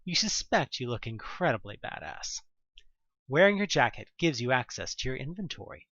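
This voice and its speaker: Expressive male story narrator, slightly muffled. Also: mid-range voice, moderate pace, vocal fry, dry recording. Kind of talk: dramatic second-person scripted story narration.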